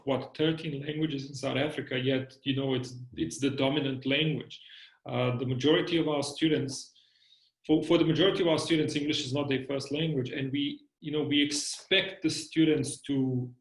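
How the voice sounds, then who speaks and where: Hesitant Bosnian-accented man, echoey room. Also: medium pitch. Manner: hesitant, many fillers and repeated words. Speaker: lecturer. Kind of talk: reflective interview talk.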